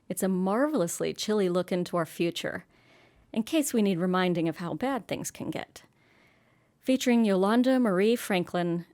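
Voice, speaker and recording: A woman's voice: mid-range voice, darkish tone. A woman hosting an audio story series with scripted, wry, tongue-in-cheek introductions. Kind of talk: scripted host links.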